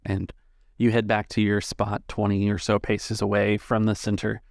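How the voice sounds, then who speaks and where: A man's voice: on the low side, monotone, normal speed, gravelly, dry recording, clean studio sound. A man speaking as game master and podcast host, narrating in a storytelling and scripted style. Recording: loud guitar music behind.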